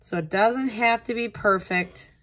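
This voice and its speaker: A woman's voice: medium pitch, unhurried, a bit bright. A woman tutor reading aloud and giving simple step-by-step instruction for young children. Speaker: tutor reading a picture book and leading a craft.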